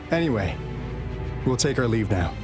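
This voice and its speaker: A man's voice: mid-range voice, big pitch swings, normal speed, lightly acted. A man acting an urgent, capable field agent in a fantasy video game cutscene. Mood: casual, explanatory. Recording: game music behind the voice. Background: game soundtrack music.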